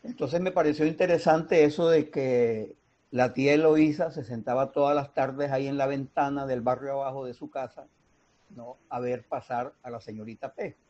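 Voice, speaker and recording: Muffled, mellow Colombian Caribbean man, deliberate. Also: medium pitch, steady tone. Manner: didactic, deliberate, with pauses. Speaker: radio drama writer and mentor. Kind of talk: explanatory interview talk. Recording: dull, muffled lo-fi sound.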